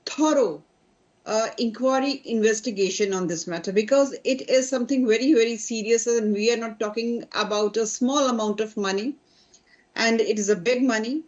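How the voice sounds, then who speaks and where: A woman's voice: fairly high pitch, a little mellow. Insistent Indian woman on a muffled feed. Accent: Indian English. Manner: insistent, enumerating points, halting fillers. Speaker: party spokesperson. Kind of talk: political debate panelist.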